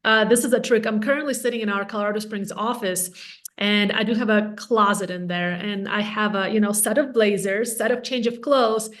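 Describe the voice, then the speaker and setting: A woman's voice: medium pitch, brisk. A woman in her thirties from Latvia with a Latvian accent, a real estate agent speaking candidly and energetically as an interview guest. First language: Russian or Latvian. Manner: candid, energetic, self-deprecating. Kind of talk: casual interview chat.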